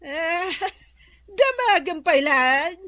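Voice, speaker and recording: An indignant landlady in a radio comedy. She scolds impatiently in a high, piercing voice with an Ilocano Filipino accent. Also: animated, very slow, fairly bright tone, no echo, studio quality, full character voice.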